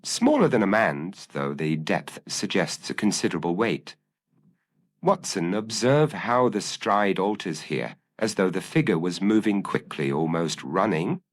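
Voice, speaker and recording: A commanding master detective, acted out by a male storyteller, low in voice but swinging keenly upward in pitch. He delivers crisp, formal deductions with calm authority, in the style of an audio mystery. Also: measured pace, heavily acted. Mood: commanding, alert.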